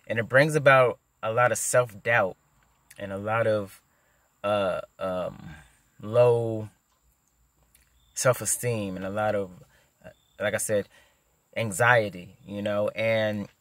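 Man in his 30s, stutters, slow deliberate talk, lowish voice, dry close sound in a parked car. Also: fairly bright tone, dry recording. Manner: stutters, with repeated words and many fillers. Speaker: vlogger. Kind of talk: casual advice vlog. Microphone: phone camera at arm's length.

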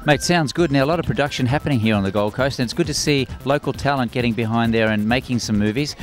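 A middle-aged man with an Australian accent, an energetic, chatty red carpet host interviewing guests outdoors on a handheld mic. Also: mid-range voice, fast talker. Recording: music playing behind.

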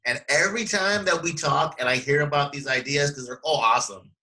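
A man's voice: a little high, a little fast, pushed and bright, low-quality recording. A middle-aged man hosting an interview over a video call, enthusiastic and emphatic, repeating words for stress. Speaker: podcast host, real estate coach. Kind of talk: casual interview chat. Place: small indoor room. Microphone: webcam video call.

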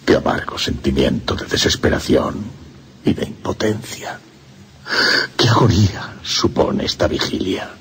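An actor playing an anguished, lovestruck priest in a radio play, speaking slowly and breathily with impassioned drama and a Castilian Spanish accent. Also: male voice, sits low, a little hiss or hum. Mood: anguished tenderness. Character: anguished lovestruck priest recounting his haunted romance.

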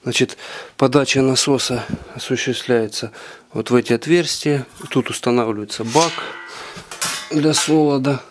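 A man giving an unscripted walkthrough of his home brewing equipment, talking haltingly with many filler sounds, recorded indoors on a handheld camera. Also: mid-range voice, steady tone, slight background noise. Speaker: home brewer. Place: indoor room with carpet.

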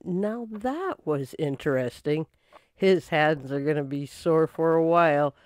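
A woman's voice: low-pitched, lively intonation, unhurried, a little mellow, no room sound. A woman playing a chatty secretary at a detective's office, in old time radio drama style. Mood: amused.

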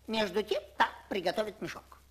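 A man voicing a small young imp on a children's story record, with quick, sly patter. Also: high-pitched, lively intonation, a bit bright.

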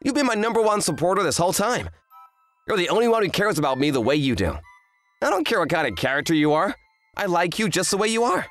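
A woman voicing an earnest, kind teenage boy, an excitable manga fan, in an anime-style romantic comedy dub. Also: lowish voice, fast, faint music behind. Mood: delighted, tender. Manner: earnest, exclamatory.

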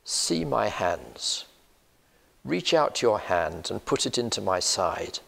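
An older man with a British accent preaching a measured, earnest sermon, recorded in a church on a lapel mic. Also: fairly low pitch, very animated, vocal fry, fairly bright tone. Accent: British English. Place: church sanctuary, indoors. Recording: long church reverb.

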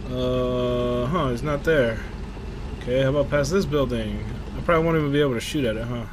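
A man's voice: medium pitch, a little fast. A man giving casual, profane gameplay commentary in an offhand, sarcastic way. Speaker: gamer commentator. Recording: game gunfire and vehicle noise behind. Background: war game sounds, gunfire, vehicles.

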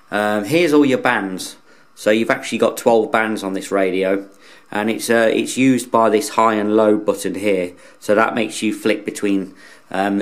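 A man casually reviewing gear unscripted, in a plain-spoken, rambling, hesitant way that often repeats words. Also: fairly low pitch. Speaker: radio hobbyist reviewer.

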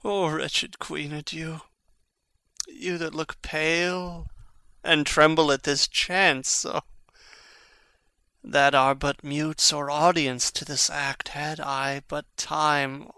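A man acting a dying young prince in a classical tragedy, declaiming in a halting, anguished and urgent way. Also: fairly high pitch, flat delivery, pushed and bright, clear, resonant tone, no echo, heavily acted. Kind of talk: classical stage tragedy, dramatic audiobook reading.

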